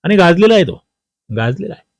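A man's voice: high voice, sing-song, fairly quick, vocal fry, no room sound, studio quality. A man teaching exam history, reading out quiz questions, prompting with questions and repeating the key answers.

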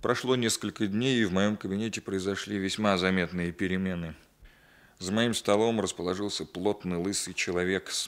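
A principled captain of police in a vintage radio play. His voice sits low, and he speaks formally and with restraint, with a dry ironic edge. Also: fairly bright tone. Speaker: radio drama actor. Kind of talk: 1960s Soviet radio play acting.

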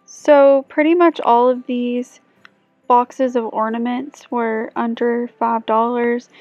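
A young woman chats through a shopping vlog, often turning to viewers with questions. Her voice sits a little high. It sounds dry and a bit dull, with faint music playing behind it. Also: flat delivery, takes it slowly, no echo. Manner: chatty, asks viewers questions. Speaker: vlogger. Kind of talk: casual shop-with-me voice-over.

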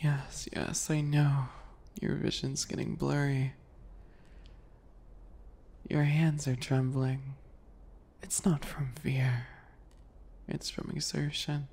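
Breathy, sadistic yandere bunny boy, acted by a man. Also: mid-range voice, flat delivery, slow, pauses often, exaggerated voice. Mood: bored, disdainful. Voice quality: soft, breathy, often whispering. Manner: taunting, giggly, falsely sweet encouragement. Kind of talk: whispery ASMR roleplay.

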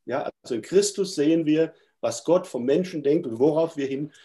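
A man's voice: fairly high pitch, normal speed, warm tone. A middle-aged man with a stuffy cold gives a thoughtful, hesitant lecture from a room at home over a video call. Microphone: webcam on a video call.

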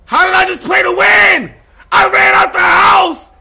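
A man's voice: extremely high pitch, animated, measured pace, vocal fry, sharp tone, raised voice, a faint crowd. An American man reacting to a game on a livestream, incredulous and profane, often repeating himself. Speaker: game streamer. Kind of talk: livestream gameplay reaction, roleplay.

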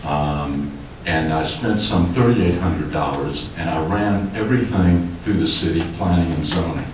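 A man's voice: deep voice, unhurried, a little hiss or hum. An older man speaking at a city hearing podium as a developer giving public comment, emphatic and growing heated. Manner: emphatic, gesturing, rising heat. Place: city commission chamber, indoors. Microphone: podium microphone. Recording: echoey hall, distant mic.

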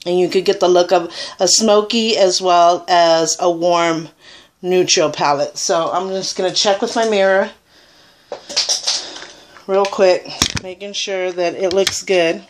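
A woman's voice: fairly low pitch, steady tone, a little slow, a little hiss or hum. Chatty woman doing a makeup tutorial. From Puerto Rico. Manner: chatty, rambling, filler-heavy. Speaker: beauty vlogger. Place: small indoor room. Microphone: webcam at arm's length.

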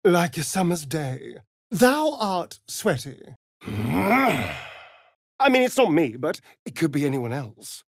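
An actor playing a sardonic, flamboyant, seductive vampire rogue in a fantasy video game, with an arch, theatrical drawl. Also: male voice, fairly high pitch, sing-song, slow pace, slightly rough, no echo, clean studio sound, heavily acted. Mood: disdainful, wry.

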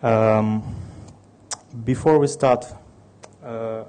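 A man's voice: mid-range voice, steady tone, extremely slow, dark tone. A man in his thirties from Ukraine with a Russian accent, giving a technical conference talk with many restarts and fillers. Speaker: conference speaker.

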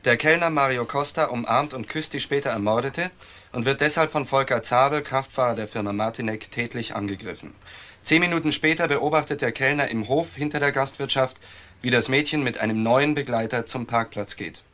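An actor plays a correct, businesslike policeman in a crime radio drama. His male voice is mid-pitched. He speaks formally and sticks to the facts. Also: fairly quick, sharp tone, natural voice. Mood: official. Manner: formal, factual.

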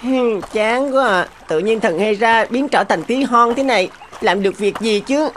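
A woman's voice: fairly high pitch, normal speed, a little hiss or hum, natural voice. A woman voicing a tiny, plucky but anxious young boy in a children's cartoon, musing aloud expressively. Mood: glum, worried.

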